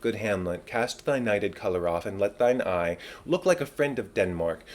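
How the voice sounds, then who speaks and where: A man voicing a middle-aged queen, a gently reproving, pleading mother, in a formal Shakespeare reading. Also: lowish voice, animated, normal speed, close to an everyday voice. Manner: formal, entreating.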